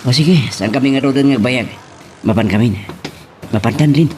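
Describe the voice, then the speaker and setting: A man's voice: mid-range voice, a little fast, a little mellow, soft background music, natural voice. A man with an Ilocano accent playing a polite boyfriend in an audio romance drama. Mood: reserved. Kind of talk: Filipino radio-style romance drama acting.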